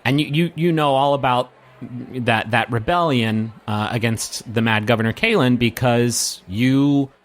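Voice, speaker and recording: Man narrating as a halting game master. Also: mid-range voice. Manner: halting, searching for words, addressing the player directly. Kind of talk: improvised tabletop game narration.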